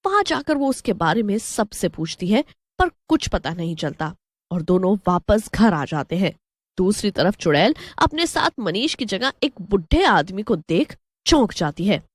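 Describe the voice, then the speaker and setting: A woman's voice: medium pitch, dry recording. A woman narrating a scripted horror story as a storyteller.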